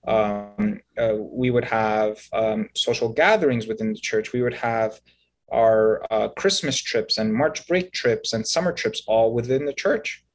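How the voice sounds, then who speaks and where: A man in his thirties, from Egypt and raised in Canada, telling his story as an interview guest in a halting, explanatory way, often checking in with "right?". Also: lowish voice. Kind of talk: conversational storytelling.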